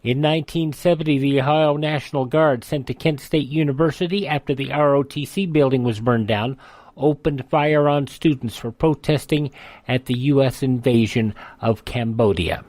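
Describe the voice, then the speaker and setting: An American man reads scripted narration in the manner of a news segment. His voice sits at a medium pitch, and the sound is dry and close with no room on it. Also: moderate pace, darkish tone, no echo. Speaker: radio history segment narrator.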